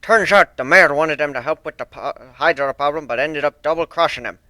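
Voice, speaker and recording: A grizzled old sea dog of a cartoon pegasus, played by a man, stern and deadpan. He talks bluntly with a salty seafaring swagger, forceful and bright in a close, dry recording. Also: fairly high pitch, brisk, dry recording. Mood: gruff, mocking. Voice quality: stern, slurred S sounds, rolled R's.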